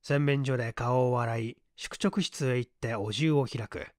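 A man's voice: mid-range voice, measured pace, darkish tone, no room sound, clean studio sound. A man narrating a literary mystery story as a dramatised audiobook reading. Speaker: audiobook reader, small-theatre stage actor.

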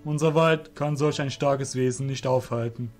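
Man as a proud, forceful fairy warrior. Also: mid-range voice, fairly even intonation, moderate pace, faint music behind, lightly acted. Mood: sceptical. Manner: loud, formal, challenging. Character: proud, forceful male fairy beast master. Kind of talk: amateur audio drama acting.

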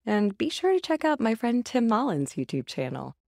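A woman's voice: on the high side, sing-song, normal speed, gravelly, darkish tone, no room sound, studio quality. A woman hosting, reading a scripted outro addressed to viewers. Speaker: host.

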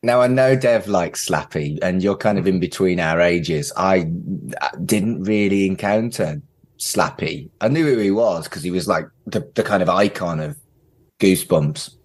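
A man's voice: low-pitched, normal speed. A man hosting a podcast in a casual, chatty style, with wry, self-deprecating asides. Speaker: podcast host.

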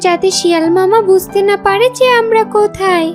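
A performer voicing a young duckling, a protective and earnest elder sister with a very high childlike voice, in a children's cartoon. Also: fairly quick. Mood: suspicious. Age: young child figure. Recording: music under the voice.

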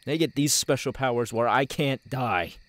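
A man acting an audio roleplay as a tormented, menacing young killer, bitter and halting, with a husky voice. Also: mid-range voice, close to an everyday voice. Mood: angry, aggravated. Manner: halting, brooding, bursting into anguished shouts.

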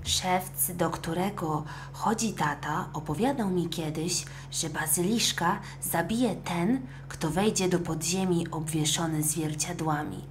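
A woman's voice: fairly low pitch, unhurried, hardly any pauses, soft background music, close to an everyday voice. A woman playing a brave, earnest young boy in a children's audio story. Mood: earnest, hushed. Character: eager, brave young boy, reassuring older brother.

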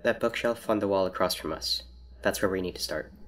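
Audio drama scientist: deep, calm, analytical, exact in phrasing, understated delivery. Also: male voice, slight background noise. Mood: thoughtful, reserved. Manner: measured, formal, precise. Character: calm, earnest, analytical scientist, self-sacrificing. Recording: faint background ambience.

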